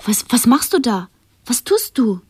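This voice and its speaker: A woman's voice: a little high, big pitch swings, a little slow, breathy edge, no room sound, soft background music, heavily acted. An actress playing a puzzled woman who questions insistently and naively in a radio play.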